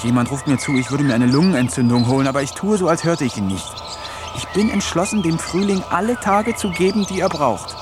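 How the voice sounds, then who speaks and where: A man's voice: on the high side, animated, brisk, background noise, natural voice. A man playing an eccentric village man who talks to animals, narrating a German radio play in a wry, musing first-person voice. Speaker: narrator and lead character.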